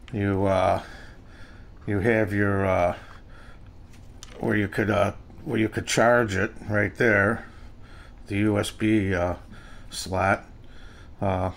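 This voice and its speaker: An older man with an American accent gives a casual, unscripted product review in a halting, plain-spoken way. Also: lowish voice, creaky, a bit bright. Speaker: gadget reviewer. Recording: noisy amateur home recording.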